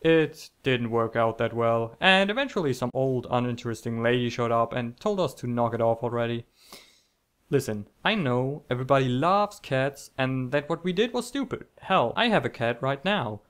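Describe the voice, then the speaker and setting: A man's voice: medium pitch, lively intonation, normal speed, a bit bright, smooth. Young man telling stories with mock-epic flair. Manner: mock-epic, theatrical, self-deprecating asides. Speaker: online storyteller. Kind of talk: comic scripted storytelling narration.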